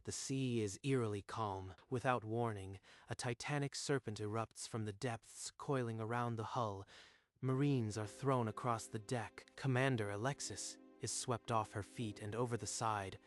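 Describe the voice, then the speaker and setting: A man's voice: lowish voice, moderate pace. A man giving scripted, dramatic narration for a manga dub. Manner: dramatic storytelling. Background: faint music.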